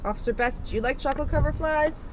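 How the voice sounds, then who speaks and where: A woman's voice: high voice, fast. A woman chatting casually to young viewers as co-presenter of a children's book reading. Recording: muffled, clear background noise.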